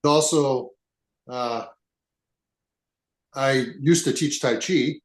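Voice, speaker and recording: A man's voice: medium pitch, extremely slow. A man in his seventies, born in England, talking thoughtfully as a podcast guest and often restarting his sentences. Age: 70s. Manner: thoughtful, rambling with frequent restarts. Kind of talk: relaxed conversational interview. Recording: echoey room.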